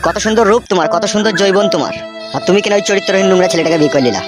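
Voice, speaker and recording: A man's voice: sits high, animated, racing. A man playing a vain, flirtatious party henchman in a comic cartoon, nosy and preachy. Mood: scolding. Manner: nosy, preachy, smooth-talking. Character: meddling, flirtatious party henchman, vain bachelor of thirty-three. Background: background music.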